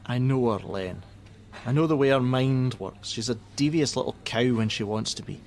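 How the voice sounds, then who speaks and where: A man acting a gruff, jaded helpline worker. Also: mid-range voice, normal speed, darkish tone, close to an everyday voice. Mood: defiant. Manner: blunt, sardonic, defensive. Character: jaded, gruff, hot-tempered male crisis-line worker and father. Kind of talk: naturalistic radio play acting.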